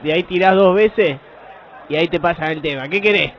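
A man playing a bossy, foul-mouthed office clerk with an Argentine accent, gruff and sardonic, in an improvised radio sketch. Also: sits high, fairly quick, a faint crowd. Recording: dull, muffled live radio recording.

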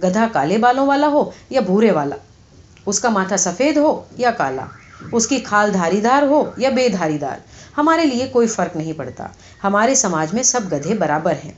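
A woman's voice: mid-range voice, sing-song, natural voice. A woman voicing a talking male donkey in a storybook, earnest, mock-pompous and philosophical, with a formal speechifying manner. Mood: earnest, preaching. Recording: slightly dull, lo-fi audio.

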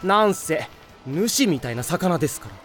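A man's voice: a little high, very animated, moderate pace, fairly bright tone. Casting: a cocky young ladies' man for anime audio drama, suave and boastful, swooping melodic delivery, light music underneath. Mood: flustered.

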